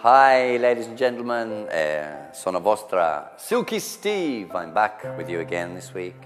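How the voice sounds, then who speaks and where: A man playing a lovelorn male crooner who speaks in a low, soft, hesitant way. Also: big pitch swings, measured pace, in a large hall, over music. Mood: rueful. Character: smooth-voiced lovelorn male crooner, middle-aged. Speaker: singer in a sketch.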